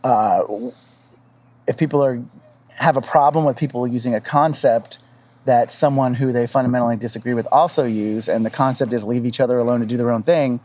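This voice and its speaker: A man speaking as a podcast panelist, thinking aloud haltingly in casual discussion. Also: mid-range voice, steady tone, darkish tone.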